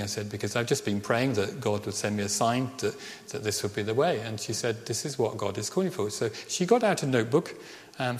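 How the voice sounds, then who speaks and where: A middle-aged man from Birmingham with a slight Birmingham accent touched by Welsh Ceredigion tones, preaching a sermon as a vicar. He tells a personal story earnestly and slows down on key phrases. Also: mid-range voice. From Birmingham, England. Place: church. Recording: reverberant church hall.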